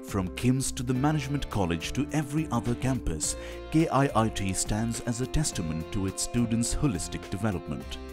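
A man's voice: mid-range voice, lively intonation, fairly quick. A man reading a scripted promotional narration. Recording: music bed behind voice. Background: music with plucked guitar.

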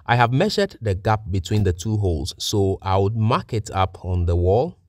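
A man's voice: on the low side, big pitch swings, moderate pace, a little mellow, no room sound. A man giving methodical, plain-spoken step-by-step narration as a technician presenting a practical tutorial.

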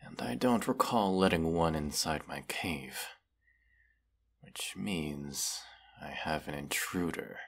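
A man's voice: a bass voice, creaky, exaggerated voice. A man playing a proud, menacing, weary dragon who distrusts humans, talking haughtily in a fantasy audio roleplay. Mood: suspicious. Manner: slow, deliberate, haughty, with long pauses. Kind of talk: scripted audio roleplay monologue.

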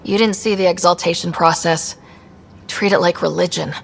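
A woman's voice: on the low side, fairly even intonation, normal speed, vocal fry, slight background noise. A woman voicing a young space explorer in a video game, earnest, direct and full of probing questions. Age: young adult. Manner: direct, inquisitive. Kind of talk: video game dialogue acting.

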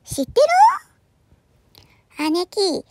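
A woman voicing a cheeky, excitable young female cat who teases in cutesy baby talk. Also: very slow, no echo, clean studio sound. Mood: teasing.

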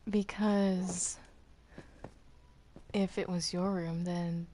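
Woman playing a slow, stammering, defensive captive cartoon demon. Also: fairly low pitch, little pitch movement, warm tone, a little hiss or hum. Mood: defensive, wary. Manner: halting, stammering, protesting. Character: defensive reluctant captive Bendy, male cartoon demon, flustered. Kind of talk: improvised Minecraft roleplay.

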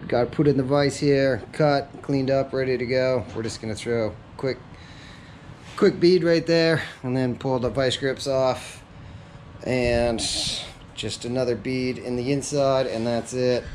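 A man's voice: medium pitch, steady tone, measured pace, darkish tone. A middle-aged American man narrating a hands-on DIY job in a casual, conversational way. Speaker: DIY vlogger. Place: home garage and truck cab. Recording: action-camera audio with clear background noise.

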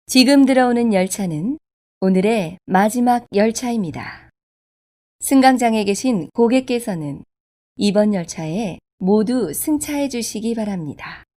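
A woman reading scripted subway station announcements in a formal, polite, measured way. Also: on the high side, expressive, darkish tone. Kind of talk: scripted public transit announcement.

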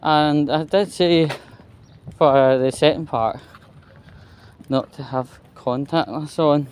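A man's voice: on the high side, slow, a little mellow, a little hiss or hum. A man giving a casual, rambling walking vlog monologue, sweary and weary, recorded on a phone outdoors. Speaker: vlogger. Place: walking outdoors along a residential street. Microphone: handheld phone. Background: street ambience, passing vehicles.

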